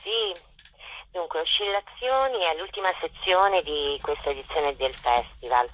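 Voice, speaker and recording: Woman, unhurried interview answers, festival director, telephone radio sound. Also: on the low side, a bit bright, low-quality recording.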